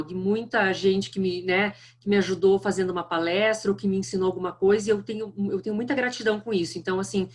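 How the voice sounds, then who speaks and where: A woman's voice: medium pitch, slight background noise. A middle-aged woman with a strong southern Brazilian accent, giving a friendly, chatty guest lecture over a video call. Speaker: guest speaker. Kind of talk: online lecture.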